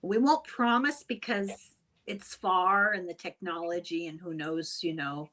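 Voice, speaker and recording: A woman's voice: on the low side, a little slow, fairly bright tone. A woman speaking as the host of a casual, conversational chat.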